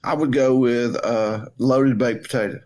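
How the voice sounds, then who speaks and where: A man's voice: medium pitch, steady tone, unhurried, darkish tone. A man chatting casually as a podcast co-host, blunt and joking, with plenty of swearing. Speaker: wrestling coach. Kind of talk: casual banter and storytelling.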